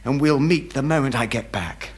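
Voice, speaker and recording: An English actor playing a bumbling, hesitant young naval officer in a radio sitcom, on a noisy old recording. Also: male voice, medium pitch, animated, moderate pace, natural voice. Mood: flustered. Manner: hesitant, flustered, silly, stammering restarts. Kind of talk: British radio sitcom acting before an audience.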